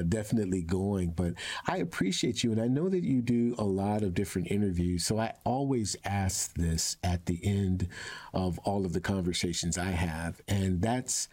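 A man's voice: low-pitched, darkish tone. A man hosting a conversational podcast interview in an effusive, encouraging manner.

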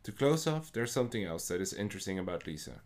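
A man's voice: sits low, very animated, quick pace. Dutch-accented man hosting a poetry podcast. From Netherlands. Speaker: podcast host. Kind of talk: scripted podcast narration. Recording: faint music behind the voice.

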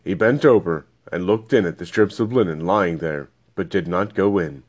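A man's voice: low-pitched, moderate pace. A man reading scripture aloud as scripted narration. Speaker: Bible reader.

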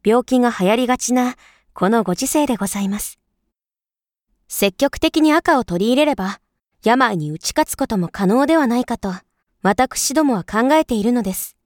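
A woman acting an overly polite, persuasive female shop clerk in a voice drama, speaking in smooth honorific sales talk. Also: a little high, lively intonation, moderate pace, no echo, clean studio sound, natural voice. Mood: earnest, persuasive.

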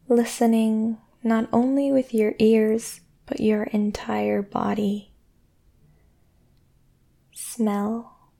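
A woman guiding a sleep meditation in a soothing, gentle way, speaking deliberately with long pauses. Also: medium pitch, gravelly. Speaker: meditation guide and podcast host. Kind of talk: guided sleep meditation, yoga nidra.

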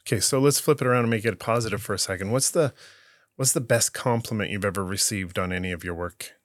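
A man's voice: lowish voice, fast talker, no echo, studio quality. A man hosting a podcast, asking interview questions and reacting with short encouraging words. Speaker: podcast host.

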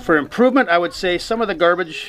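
Fast-talking Canadian man. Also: sits high, crowd noise. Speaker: tourist interviewee.

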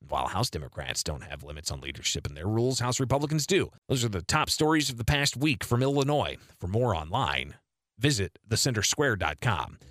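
Male anchor reading scripted news; lively intonation, dry close recording. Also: fairly low pitch, no echo. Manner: measured, read delivery.

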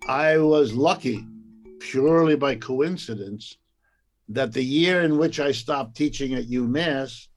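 An older man, a retired professor, talks through his past at an easy pace. His pitch sits in the middle for a man. He pauses often on fillers, and soft music plays faintly behind him. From United States. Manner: unhurried, many "uh" and "um" fillers, occasional laughs. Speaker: economics professor, interviewee. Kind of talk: reflective personal storytelling.

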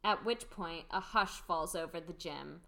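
A woman's voice: lowish voice, unhurried, creaky, pushed and bright. A woman reading stage directions as the narrator of a scripted amateur radio play.

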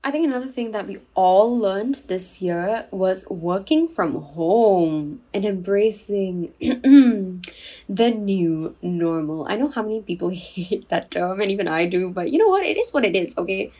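A young woman from Singapore hosting her own podcast in a chatty, confiding way, enunciating clearly with a soothing voice. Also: mid-range voice, animated, a little slow, dark tone. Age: 20s. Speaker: podcast host. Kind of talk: casual solo podcast chat.

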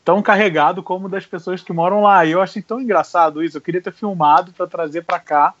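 A man with a Rio de Janeiro accent chats animatedly on a podcast, his voice sitting a bit high. The recording is dry and a little muffled. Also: fast talker, no room sound. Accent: Carioca Portuguese. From Rio de Janeiro, Brazil. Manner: lively, animated storytelling with laughs. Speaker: podcast co-host. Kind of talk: casual chat. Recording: dry, slightly dull audio.

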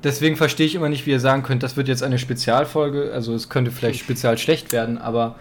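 A man's voice: mid-range voice, fairly even intonation, fast talker. Self-deprecating young man over background noise. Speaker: recurring podcast guest. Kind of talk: casual chat.

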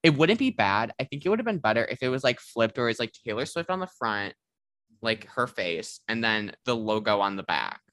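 A teenage boy hosting his own podcast, talking in a loose, rambling way and filling his sentences with "like." His voice sits at an ordinary pitch. It is recorded dry and close, with no room sound. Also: sing-song, fairly quick, a bit bright, dry recording. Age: teens. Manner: chatty, rambling, lots of "like". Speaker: podcast host. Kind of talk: casual chat.

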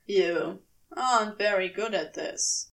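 A woman voicing a cool, weary, reproachful man with a dry, measured manner in a fan fiction audiobook. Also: mid-range voice, gravelly, recorded from a distance, voice pushed down.

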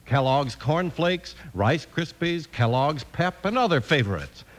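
A man's voice: fairly high pitch, lively intonation, measured pace. An American man announcing and reading sponsor spots in the style of 1950s radio. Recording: vintage radio broadcast. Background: music.

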